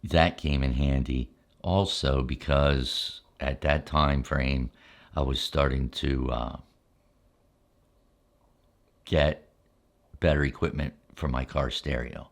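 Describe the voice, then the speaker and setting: Very deep-voiced older man, ASMR storytelling. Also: steady tone, slow pace, long pauses. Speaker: ASMR storyteller, channel host. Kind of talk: relaxed ASMR reminiscing, unscripted storytelling.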